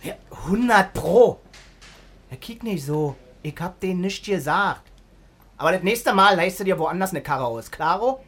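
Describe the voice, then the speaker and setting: An actor playing a brash, streetwise car rental owner with a Berlin accent, gruff, slangy and taunting in a radio crime drama. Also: mid-range voice, lively intonation, unhurried, fairly bright tone.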